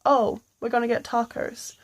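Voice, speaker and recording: A woman voicing a very sassy female owl with a cool, deadpan manner in a bedtime story. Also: on the high side, normal speed, close to an everyday voice.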